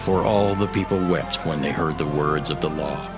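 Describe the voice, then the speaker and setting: A man reading scripture as a scripted narrator, solemn and measured, with a muffled sound. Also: a bass voice, over music.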